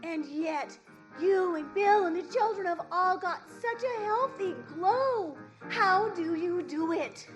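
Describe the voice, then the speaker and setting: A woman's voice: extremely high pitch, lively intonation, slow pace, soft background music, exaggerated voice. A woman playing an exclamatory, gossipy housewife in an old-time radio advertisement. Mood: exclamatory, curious.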